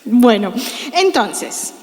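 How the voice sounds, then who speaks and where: Woman in her thirties, fairly high voice, chatty church host, long hall reverb. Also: sing-song, very slow, darkish tone. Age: 30s. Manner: chatty, jokey, exclaims often. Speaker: host of a church youth panel. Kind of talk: casual church talk.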